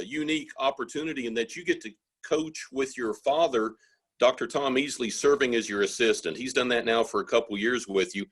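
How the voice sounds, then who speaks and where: A man's voice: mid-range voice, fairly bright tone, breathy edge. Hesitant man interviewing on a video call. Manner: rambling, hesitant questions. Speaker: associate athletic director hosting the series.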